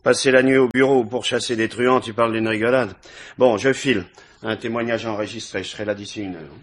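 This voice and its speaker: An actor playing a sardonic, complaining police inspector stuck on duty, in a 1950s-style French radio crime drama. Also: male voice, on the low side, steady tone, a little fast, lightly acted. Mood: wry complaining. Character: wry, self-pitying duty inspector, joking with a colleague.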